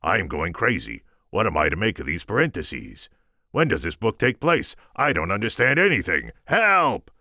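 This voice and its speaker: A man's voice: on the low side, huge pitch swings, moderate pace, bright, forceful tone, dry recording, clean studio sound, pitched above the performer's own voice, heavily acted. Man putting on a flustered, exasperated woman copy editor. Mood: frantic. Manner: emphatic, rising into frantic pleading.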